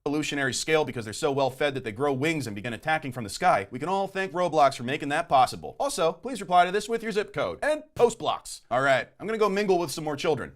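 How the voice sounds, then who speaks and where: Quick sarcastic streamer dude, bouncy animated voice, a bit high, faint music behind. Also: male voice. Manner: mock-serious, ironic delivery. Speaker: streamer commentating gameplay and clips. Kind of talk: sarcastic comedic commentary.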